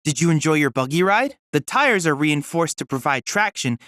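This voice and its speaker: A boyish android research assistant from a video game, polite and endlessly curious. His phrasing is formal and precise, with pitch that moves in lively swings. The recording is close and dry. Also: moderate pace, no room sound. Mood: informative, curious. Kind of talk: scripted video game character dialogue.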